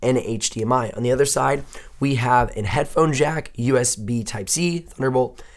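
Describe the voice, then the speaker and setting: A young man with a hoarse voice he says he has partly lost, chatting upbeat and thinking aloud as he unboxes and reviews a laptop. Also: mid-range voice, normal speed. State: hoarse, losing his voice. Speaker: tech reviewer. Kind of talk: casual unboxing talk.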